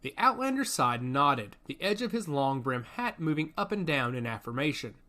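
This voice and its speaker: A man's voice: on the high side, sing-song, moderate pace, pushed and bright. A man reading scripted fiction as a narrator in a dramatic storytelling style. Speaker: audiobook narrator.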